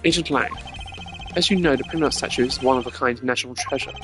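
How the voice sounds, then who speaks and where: A composed video game prosecutor acted out by a man lays out his case formally and deliberately. His voice is mid-pitched, with music playing underneath. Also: natural voice. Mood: explaining. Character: composed, cerebral male prosecutor arguing a case.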